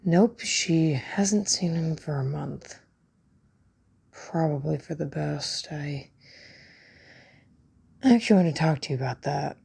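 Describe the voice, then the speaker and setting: Audio drama friend: actress as hesitant, low-key confidante, very raspy and creaky, unhurried. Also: female voice, dark tone, heavily acted.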